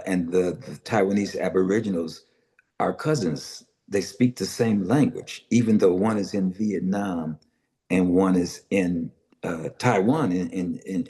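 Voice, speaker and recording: Low-voiced older man, halting speech. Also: warm tone. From Los Angeles, United States. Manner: halting, many "uh" fillers and restarts. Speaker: author and publisher, guest. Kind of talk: conversational interview answers, then reading aloud.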